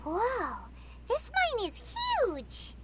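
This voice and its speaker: A woman voicing a tiny, excitable fairy companion in a video game, with chirpy, wide-eyed exclamations. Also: very high-pitched, extremely slow, very noisy, exaggerated voice. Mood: excited.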